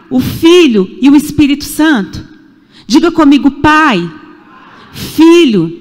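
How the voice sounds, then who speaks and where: A Brazilian woman in her forties preaching a sermon in a church, emphatic and repetitive, with rhetorical questions. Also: high voice. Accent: Brazilian Portuguese. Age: 40s. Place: church sanctuary. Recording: echoey church hall.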